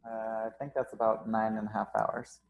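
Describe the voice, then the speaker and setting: A man's voice: lowish voice, little pitch movement, moderate pace, gravelly, slight background noise, poor audio. A young man who owns a gym, hosting a casual live interview with frequent ums and uhs. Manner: casual, filler-heavy, upbeat. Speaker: gym owner and host.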